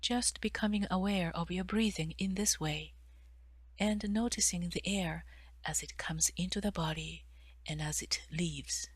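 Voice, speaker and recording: A woman with a slightly low voice narrates a guided meditation. Her delivery is soft and breathy, with measured pauses between phrases. The sound is very dry, with hardly any room in it. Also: no room sound.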